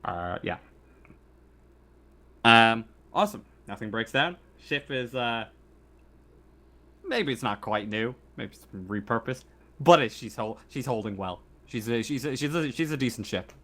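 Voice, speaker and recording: A man's voice: medium pitch, lively intonation, measured pace, long pauses, fairly bright tone. A man acting as game master, narrating and explaining rules in an informal, conversational way. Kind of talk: casual tabletop game narration and rulings. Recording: faint background noise.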